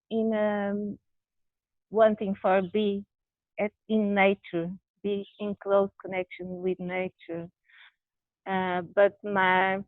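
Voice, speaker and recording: Halting woman guest on a tinny call. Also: mid-range voice, no room sound. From Brazil. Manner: halting, searching for words, frequent restarts. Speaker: interview guest. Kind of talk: candid personal conversation. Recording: tinny phone-like video call audio.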